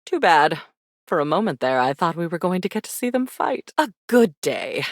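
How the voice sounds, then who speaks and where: A woman's voice: on the low side, sing-song, normal speed, no room sound, clean studio sound. A woman voicing a mysterious, devious living memory in a video game, theatrical and flirtatious, with a darkly teasing, sly manner. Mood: satisfied, teasing. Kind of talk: video game character acting.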